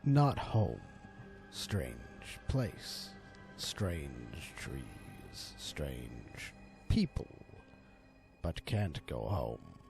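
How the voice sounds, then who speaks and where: A man voicing a hulking, unearthly forest monster in a horror story, with a deep rumbling voice and halting, broken phrases. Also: huge pitch swings, gravelly, exaggerated voice. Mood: eerie, broken. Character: hulking humanoid forest monster, speaking in many borrowed voices. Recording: music under the voice.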